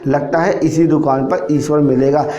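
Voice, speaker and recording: A man's voice: a little high, fairly even intonation, normal speed, mellow, close to an everyday voice. A man voicing an innocent, earnest five-year-old boy in a narrated moral story. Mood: hopeful. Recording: roomy echo, music behind.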